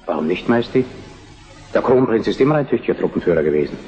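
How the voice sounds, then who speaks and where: An actor playing a calm, confident Prussian statesman in a German historical radio drama, measured and dry in manner. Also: fairly low pitch, long reverb tail, dull audio, natural voice. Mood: calm, assured. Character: calm, confident male Prussian statesman, middle-aged or older.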